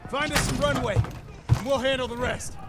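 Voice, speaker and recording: An actor playing a brash, cocky daredevil pilot lieutenant in a war video game. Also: male voice, extremely high pitch, moderate pace. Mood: excited, cocky. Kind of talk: video game cutscene acting. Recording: loud music, artillery and engines behind.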